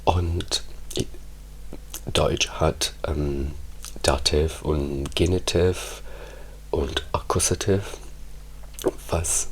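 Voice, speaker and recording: A man whose first language is Italian, talking softly in an ASMR ramble, often slipping into whispers with halting restarts. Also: extremely low pitch, fairly even intonation, husky. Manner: slow, hushed, drifting into whispers, halting restarts. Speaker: ASMR creator. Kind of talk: soft-spoken ASMR ramble.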